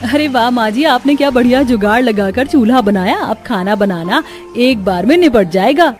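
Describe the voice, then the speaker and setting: A woman's voice: fairly high pitch, lively intonation, normal speed, a little mellow, faint music behind, natural voice. A woman voicing a resourceful, dutiful young village daughter-in-law in a cartoon story. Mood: admiring.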